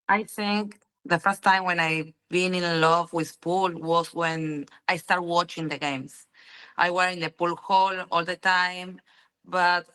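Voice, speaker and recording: A young woman from Argentina with an Argentine Spanish accent, a guest in an interview, answering in halting English full of ums and "you know.". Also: fairly low pitch, pushed and bright, clear, resonant tone, low-quality recording. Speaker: interview guest, pool player.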